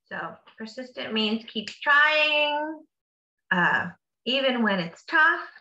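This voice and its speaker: A woman's voice: high voice, lively intonation, slow, sharp tone, dull audio. A woman reading a picture book aloud to children like a teacher, with encouraging, simply worded asides in between. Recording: echoey room.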